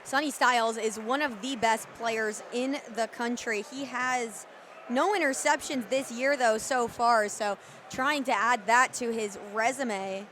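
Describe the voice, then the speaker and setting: A woman's voice: on the high side, a little slow, a bit bright. A young woman giving live color commentary on an American football game. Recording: live stadium booth with background noise. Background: stadium ambience.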